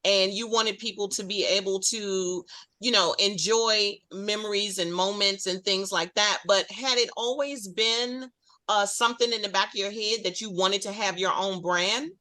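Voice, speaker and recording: A woman's voice: mid-range voice, fairly even intonation, normal speed, pushed and bright. A woman hosting a casual interview over a video call, giving encouraging short responses. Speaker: interview host.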